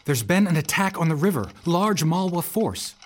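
An urgent protective soldier. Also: male voice, on the high side, expressive, moderate pace. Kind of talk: audio drama acting.

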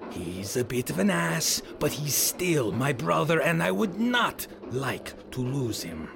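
A gruff, creaky-voiced bodyguard. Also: male voice, fairly high pitch, sing-song, slow pace, background noise, exaggerated voice. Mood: gruff, pleading. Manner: blunt, plain-spoken, addresses her as girl. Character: gruff, loyal male bodyguard, protective of a young lady. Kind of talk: audio drama acting. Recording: music behind the voice.

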